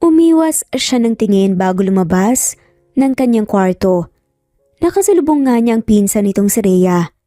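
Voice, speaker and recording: A woman's voice: mid-range voice, lively intonation, moderate pace, dark tone, no room sound, studio quality. A woman narrating a scripted romance story in a dramatic storytelling style. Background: music.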